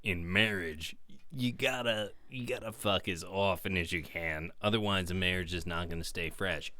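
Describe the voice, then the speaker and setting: Low, lively man riffing wryly. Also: smooth. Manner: wry, riffing storyteller. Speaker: co-host. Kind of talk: casual podcast banter.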